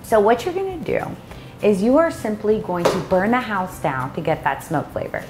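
A woman's voice: mid-range voice, big pitch swings, normal speed, roomy sound, over music. Upbeat woman giving a cooking demo. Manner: upbeat, jokey, step-by-step instructions. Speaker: vlog co-host. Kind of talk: casual cooking demo and chat. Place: home kitchen indoors.

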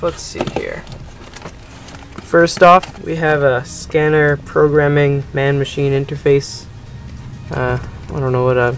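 A man's voice: a little high, vocal fry. A young man casually narrating a gadget unboxing, rambling and hesitant with many ums. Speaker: unboxing video host. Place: indoors at a table.

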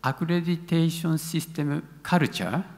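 Man, Japanese accent, slow and halting, academic presenter, reverberant auditorium. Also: fairly high pitch. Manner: halting, searching for words. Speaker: conference presenter, university academic. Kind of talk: answering questions after a conference talk. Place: large conference hall stage. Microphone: gooseneck podium microphone.

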